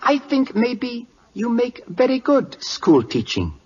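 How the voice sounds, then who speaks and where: A man's voice: extremely high pitch, wildly swooping pitch, slow, husky, exaggerated voice. An actor playing an imperious, impatient King of Siam in an old radio drama, with a Siamese accent and broken English, barking repeated questions and commands. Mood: pleased, commanding. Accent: put-on Siamese. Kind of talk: 1940s radio drama acting. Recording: dull lo-fi old radio recording.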